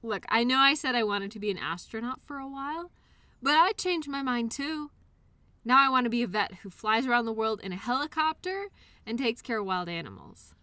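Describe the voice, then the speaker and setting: An innocent imaginary friend played by a woman talks straight to the listener in an intimate audio drama. The voice is high and bright, recorded close and dry. The delivery is earnest and full of childlike wonder, with a wistful, hesitant edge. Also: animated, a little fast, no echo. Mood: hopeful, reassuring. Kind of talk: intimate scripted audio roleplay monologue addressed to listener.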